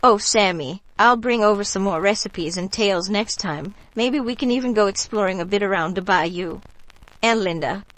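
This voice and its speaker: A kindly bayou neighbor woman, played by an actress in a radio drama. She has a medium-pitched voice in a dry, close recording, and tells stories in a gentle, folksy way. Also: moderate pace, no echo, close to an everyday voice, poor audio. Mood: welcoming. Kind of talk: scripted radio drama dialogue.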